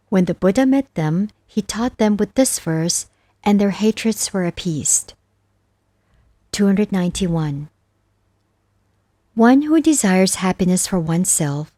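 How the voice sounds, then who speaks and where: A woman reading scripture as scripted narration, reciting verses with measured, deliberate phrasing. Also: medium pitch, dark tone, no echo. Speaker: narrator of a Buddhist scripture audiobook.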